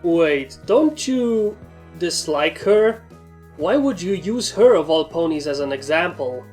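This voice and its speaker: A woman's voice: lowish voice, lively intonation, takes it slowly, a little mellow, soft background music. A woman voicing a stern, stoic pony who questions and disapproves, as part of a story reading. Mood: disapproving, puzzled.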